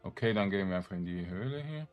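A man's voice: sits low, animated, fast talker, muffled recording. A man giving casual let's play commentary, murmuring to himself in short remarks. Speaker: gamer, let's play host. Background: game music.

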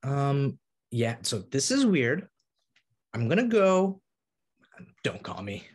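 Man playing a husky, narcissistic date. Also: mid-range voice, sing-song, slow pace, heavily acted. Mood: dismissive. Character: narcissistic, dismissive man in his thirties on a date. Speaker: actor in a table read. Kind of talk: comedy table read acting. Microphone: video call.

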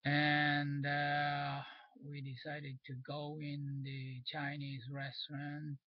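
A man's voice: fairly high pitch, completely flat delivery, slow pace, no room sound, muffled recording. A man in his sixties from Japan with a Japanese accent, recounting his day in hesitant English to a webcam at home. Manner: hesitant, searching for English words. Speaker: vlogger. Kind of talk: casual spoken diary. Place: small cluttered room at home, indoors. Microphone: webcam facing him.

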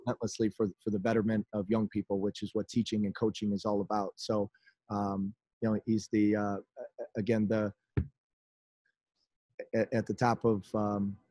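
Chicago-area American head coach, man in his forties, low monotone, halting, dry webcam sound. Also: no echo. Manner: halting, many restarts and fillers. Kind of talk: postgame press conference. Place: indoors in front of a team backdrop. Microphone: video call webcam.

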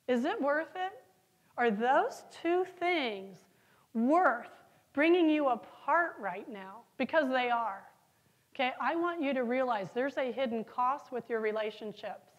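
A middle-aged woman giving a conference keynote on stage, telling stories and asking the audience questions. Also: high-pitched. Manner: lively storytelling, asks the audience questions. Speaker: keynote speaker. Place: large conference hall, on stage.

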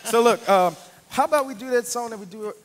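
High-pitched excitable young man on stage. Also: a little fast. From Louisiana. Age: young adult. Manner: excitable, theatrical. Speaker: comedy troupe performer. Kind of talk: live stage comedy sketch. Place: large theatre stage. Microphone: stage microphone. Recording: reverberant theatre hall, music behind.

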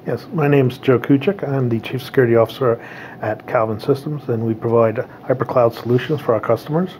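A man's voice: medium pitch, fairly quick, darkish tone, slight background noise. A man speaking matter-of-factly as a panelist. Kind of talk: panel discussion. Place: hotel room studio set.

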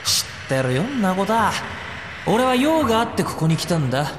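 An actor playing a cocky, brash young robot pilot with swaggering, rough speech in a radio drama. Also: male voice, sits high, big pitch swings, brisk, background noise. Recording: reverberant, music behind.

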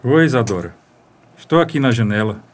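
Actor as a gentle, husky lovelorn man. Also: medium pitch, lively intonation, a little fast, lightly acted. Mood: tender. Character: gentle, wistful, lovelorn man being courted. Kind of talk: radio soap opera acting.

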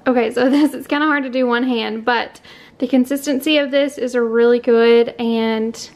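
A woman's voice: on the high side, steady tone, normal speed, over music. A young adult woman, casually narrating her home cooking in a chatty, informal way. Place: home kitchen, indoors. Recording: clattering kitchen noise.

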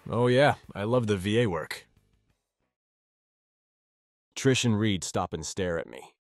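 A man's voice: lowish voice, expressive, slow pace, dry recording, studio quality, soft background music. A man playing an awkward, wry teenage boy in a visual novel, both narrating and speaking in dialogue. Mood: flustered.

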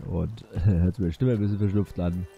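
A man's voice: deep voice, moderate pace, vocal fry, narrow, tinny audio, faint music behind. A man hosting a let's play with a stuffy nose and a sore throat, which makes his voice nasal, chatting casually over gameplay. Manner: relaxed, chatty.

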